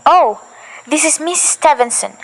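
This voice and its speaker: A student actress playing an anxious, demanding invalid housewife in a school radio play, fretful and impatient. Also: female voice, high-pitched, animated, low-quality recording. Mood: agitated. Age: young. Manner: fretful, impatient, talks fast when agitated.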